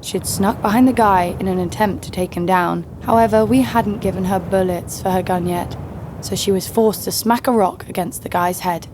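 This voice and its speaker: A woman's voice: mid-range voice, steady tone, moderate pace, noisy background, natural voice. A woman playing a tough, cynical bounty hunter who narrates her exploits in an audio drama, sardonic and cool. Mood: wry. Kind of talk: first-person scripted drama narration. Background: music.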